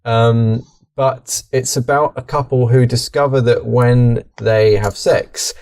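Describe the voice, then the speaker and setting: A man's voice: mid-range voice, fairly even intonation, takes it slowly, a little mellow. A young man hosting a podcast in casual chat, making dry jokes, teasing his co-hosts and swearing casually. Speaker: podcast host.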